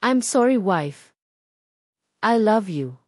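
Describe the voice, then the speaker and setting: Female reader as jealous husband, romance storybook: Filipino accent, insistent, mid-pitched, code-switching English and Tagalog. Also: expressive, takes it slowly, no echo, clean studio sound. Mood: apologetic. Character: jealous young husband, stern then apologetic.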